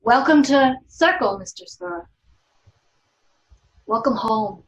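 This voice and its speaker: A woman reading the part of a stern, formal law office official in a script table read, speaking deliberately with long pauses. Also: high-pitched, lively intonation, exaggerated voice, poor audio. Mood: solemn welcome.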